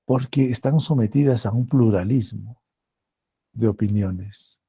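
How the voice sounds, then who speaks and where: Slow, gravelly Peruvian professor. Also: male voice, on the low side, dark tone, no room sound. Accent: Peruvian Spanish. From Peru. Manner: slow, deliberate, repeats phrases for emphasis. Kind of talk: lecture.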